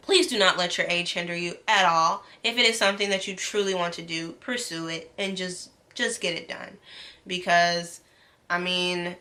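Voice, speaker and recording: A woman's voice: lowish voice, steady tone, moderate pace, sharp tone. A woman in her late twenties, a medical student, talking earnestly to camera in a casual vlog, with ums and restarts. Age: 20s.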